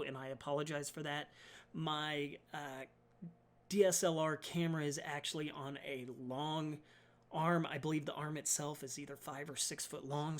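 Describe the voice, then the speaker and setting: A man, his voice slightly raspy and soft from allergies, talking through a casual hobby tutorial with plenty of ums and uhs. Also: on the high side. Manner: chatty, many ums and uhs, apologetic. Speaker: miniatures hobby YouTuber.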